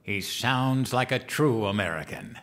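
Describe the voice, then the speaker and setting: Man acting a naive, eager, childlike president-elect, swooping pitch. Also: lively intonation, unhurried, fairly bright tone. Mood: earnest, cheerful. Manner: guileless, simple-minded, earnest talk. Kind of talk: comedic audio drama acting.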